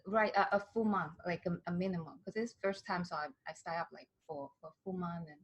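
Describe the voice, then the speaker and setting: Hesitant woman from southern China, husky. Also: lowish voice, brisk, muffled recording. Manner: hesitant, many fillers and restarts. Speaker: skincare brand founder, guest. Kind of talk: casual interview.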